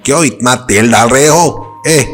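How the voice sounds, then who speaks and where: A man voicing a greedy, miserly dhaba owner who shouts, scolds and hawks. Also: medium pitch, a little slow, in a large hall, soft background music, pitched above the performer's own voice, natural voice. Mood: scolding.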